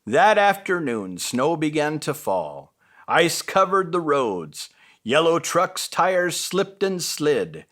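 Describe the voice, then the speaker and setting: A man's voice: fairly high pitch, very animated, slow, fairly bright tone. A man reading a children's bedtime story expressively as a storyteller.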